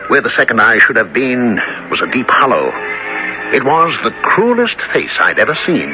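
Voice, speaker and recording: A man's voice: medium pitch, sing-song, normal speed, lightly acted. A man playing an adventurer who narrates his expedition in a radio drama, with vivid, dramatic delivery. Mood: dramatic, awed. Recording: muffled old radio recording. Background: music.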